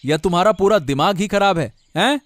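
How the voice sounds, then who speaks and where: A man's voice: high-pitched, animated, brisk, no room sound. A man voicing an indignant, principled young village farmer in a Hindi cartoon moral story. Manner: scolding, earnest. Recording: music bed under the voice.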